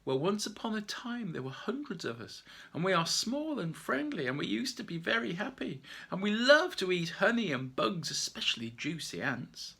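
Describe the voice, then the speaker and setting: A man voicing a small, sad, friendly sun bear with a husky, high, plaintive voice. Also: pushed and bright, full character voice.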